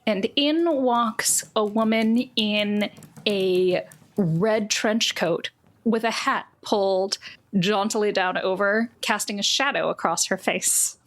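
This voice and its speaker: A woman's voice: mid-range voice, slow pace. A woman acting as game master, narrating and hosting a tabletop game in a casual way.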